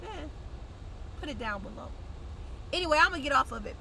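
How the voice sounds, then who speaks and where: Congested, bright-voiced young woman vlogger. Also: high-pitched, expressive, a little fast, vocal fry, slight background noise, low-quality recording. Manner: blunt, conversational, uses slang. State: congested, nasal. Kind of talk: casual direct-to-camera chat.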